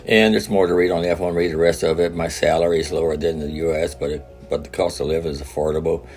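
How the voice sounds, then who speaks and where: A man's voice: low-pitched, level tone, quick pace, a little mellow, soft background music. A man hosting his own news channel, chatting casually about an article in a rambling way and often stumbling and restarting his sentences. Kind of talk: casual commentary on a read article.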